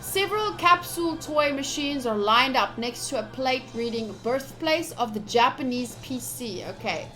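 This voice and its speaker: A woman with a high voice plays through a visual novel on a live stream. She reads the text aloud with some drama, then slips into chatty asides to her viewers. Soft music plays underneath. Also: animated, moderate pace, few pauses, poor audio. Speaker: game streamer. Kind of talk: live visual novel playthrough, reading aloud and commenting to chat.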